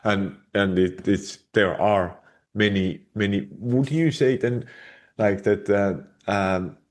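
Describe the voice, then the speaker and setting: A man's voice: lowish voice, takes it slowly. A man from Finland with a Finnish accent, hosting a podcast and asking questions hesitantly. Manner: hesitant, many fillers and restarts. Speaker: podcast host.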